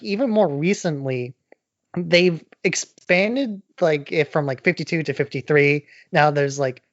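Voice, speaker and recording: A man's voice: on the high side, dry recording. Male podcast co-host, casual explainer, long conversational sentences. Very dry, slightly muffled lo-fi sound.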